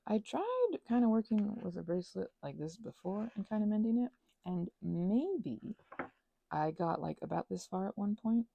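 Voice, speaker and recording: A woman with a heavily creaky, husky voice rambles casually in a playful ASMR style. Her pitch swings around as she interrupts herself with asides. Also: sing-song, normal speed, dry recording, dull audio. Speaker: ASMR and audio creator.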